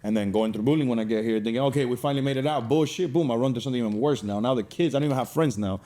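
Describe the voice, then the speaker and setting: A man's voice: medium pitch, racing, warm tone. A young man from Cuba telling his personal story as a podcast guest in a casual, streetwise way, with slang and swearing.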